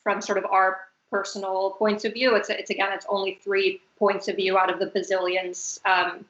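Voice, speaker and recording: Woman from the US, young adult, monotone, hesitant with fillers, roomy muffled call audio. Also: medium pitch, moderate pace. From United States. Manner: hesitant, many fillers and hedges. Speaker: talk moderator. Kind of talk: panel discussion on a video call. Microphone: video call.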